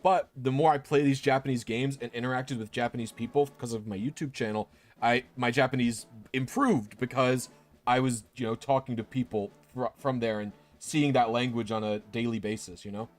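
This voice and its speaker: A man's voice: medium pitch, steady tone, moderate pace, faint music behind. A young man chatting casually on a stream, rambling with many restarts and fillers. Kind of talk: casual chat.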